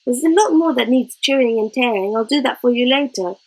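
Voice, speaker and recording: A woman's voice: a little high, expressive, a little fast, a little mellow. A woman voicing a cheerful, innocent little boy who is earnest and eager to please, in a storybook reading. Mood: cheerful, proud.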